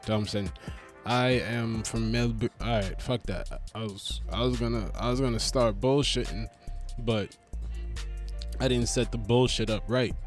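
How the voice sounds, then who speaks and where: A man's voice: medium pitch, steady tone, unhurried, soft background music. A man hosting his own podcast, rambling in a casual, profane, joking way and restarting his intro. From Brooklyn. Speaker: podcast host.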